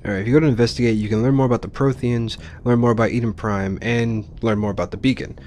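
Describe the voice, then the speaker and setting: A man's voice: medium pitch, steady tone, quick pace, a little mellow, slight background noise. A man giving casual let's play commentary on a video game, with wry, joking asides.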